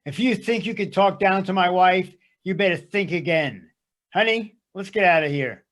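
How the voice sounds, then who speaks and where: A high-pitched male voice of an actor plays an indignant husband. He is bossy and protective, squaring up in a blustering way. It has the feel of an amateur stage sketch. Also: normal speed, fairly bright tone. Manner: blustering, confrontational. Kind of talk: readers theater sketch acting. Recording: live amateur readers theater performance.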